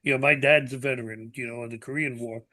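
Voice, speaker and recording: A man's voice: mid-range voice, fairly even intonation, fast talker, sharp tone. A man in his seventies hosting a podcast interview, talking in an earnest, plainspoken way and leaning on fillers like "you know". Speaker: podcast host. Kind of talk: conversational interview.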